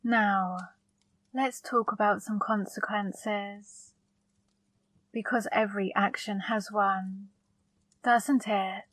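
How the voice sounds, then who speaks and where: A woman plays a flirty female demon in an intimate ASMR roleplay, speaking extremely slowly and close to the mic. Her delivery is even in pitch, coaxing and softly commanding, with a fond, possessive edge. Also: no echo. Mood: gently stern, seductive. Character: flirty female demon, seductive, controlling, fondly scolding. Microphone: close microphone.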